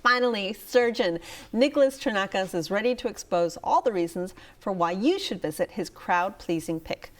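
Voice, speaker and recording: A woman hosting a restaurant review show, moving her guests along with short, brisk prompts. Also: mid-range voice, expressive. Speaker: host.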